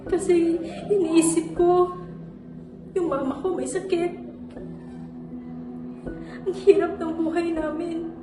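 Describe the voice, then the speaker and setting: Brief: young woman as a worn-out student in despair, high voice, recorded from afar in an echoey room with music behind. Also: steady tone, recorded from a distance. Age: young adult. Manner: halting, repeats her words, trails off. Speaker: student actor. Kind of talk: stage monologue.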